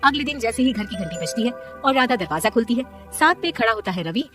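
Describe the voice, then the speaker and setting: A woman's voice: fairly high pitch, animated, brisk, a bit bright, soft background music. A woman narrating a scripted story in a dramatic storytelling manner.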